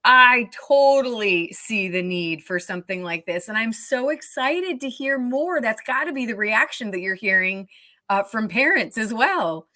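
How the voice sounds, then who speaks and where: A woman's voice: a little high, lively intonation, measured pace, pushed and bright, no echo. Woman TV host, very dry close sound. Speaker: TV show host. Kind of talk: upbeat broadcast presenting and interviewing.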